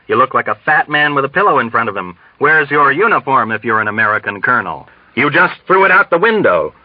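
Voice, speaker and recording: A man's voice: mid-range voice, big pitch swings, a little fast, no echo, muffled recording. A man reading a novel as a hostile male airman who mocks and threatens coldly. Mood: threatening. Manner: cold, mocking, repetitive.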